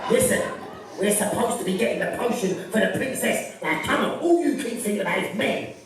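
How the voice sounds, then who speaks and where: A woman's voice: medium pitch, lively intonation, brisk, close to an everyday voice. Woman playing a squabbling, clownish sidekick in an echoey hall. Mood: bossy. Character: rude, bickering, hungry comic sidekick. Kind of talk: broad pantomime stage comedy. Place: theatre stage with audience. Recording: echoey hall from far off, audience noise.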